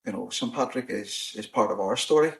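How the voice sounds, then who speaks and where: A Northern Irish man hosting a podcast, talking in a measured way with frequent restarts and "you know"s. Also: lowish voice, creaky. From Northern Ireland. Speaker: podcast host. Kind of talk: casual discussion. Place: in-person recording on the Shankill, Belfast.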